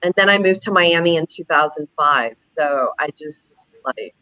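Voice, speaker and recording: Chatty lower-voiced woman from Texas. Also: lively intonation, unhurried, bright, forceful tone, no room sound, poor audio. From Houston, Texas. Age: middle-aged. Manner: chatty, laughs as she talks. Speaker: talk show guest. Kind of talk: casual chat.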